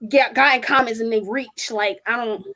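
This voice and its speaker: A woman's voice: fairly high pitch, moderate pace, pushed and bright. Blunt young woman speaking her mind. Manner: blunt, emphatic, strong-willed. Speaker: podcast co-host. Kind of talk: casual group discussion.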